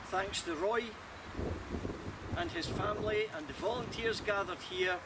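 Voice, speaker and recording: A man giving a formal, measured address at an outdoor memorial ceremony. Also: high voice. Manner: slow, measured and solemn. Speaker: ceremony speaker. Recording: distant mic, loud outdoor noise. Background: outdoor ambience.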